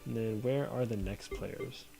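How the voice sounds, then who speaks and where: A man streaming a card game talks mostly to himself at a mid-range pitch, pondering his deck aloud. He breaks off now and then to greet and answer viewers in chat. Music plays clearly behind his voice. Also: a little slow, warm tone, poor audio. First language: not Korean. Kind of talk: casual live-stream commentary while gaming. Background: background music.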